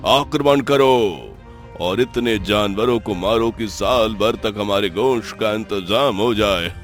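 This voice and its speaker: A man voicing an arrogant, bloodthirsty warrior king in a cartoon story, with a drawn-out menacing delivery. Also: fairly low pitch, faint music behind, pitched below the performer's own voice. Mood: gloating, menacing. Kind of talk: Hindi cartoon moral-story voice acting.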